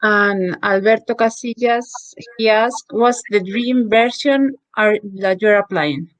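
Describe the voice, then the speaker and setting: A woman moderating a webinar, reading out audience questions in a halting way with fillers. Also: mid-range voice, no echo, rough recording. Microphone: video call.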